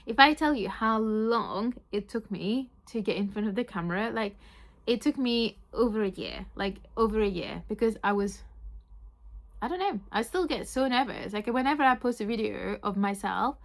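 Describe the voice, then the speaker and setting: A young woman whose first language is French, with an accent that mixes French and Yorkshire, chatting excitedly in a book vlog and saying "like" often. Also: mid-range voice, moderate pace, vocal fry. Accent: French mixed with Yorkshire. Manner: chatty, says "like" a lot, excitable. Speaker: book vlogger.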